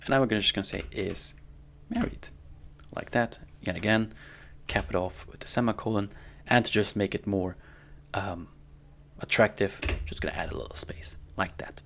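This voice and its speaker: A man giving a casual, step-by-step coding tutorial in an informal way, often checking in with the listener, with a gravelly voice. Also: fairly low pitch, normal speed, long pauses, a bit bright. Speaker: programming tutorial instructor. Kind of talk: casual screen-recorded coding lesson. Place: indoors at a computer.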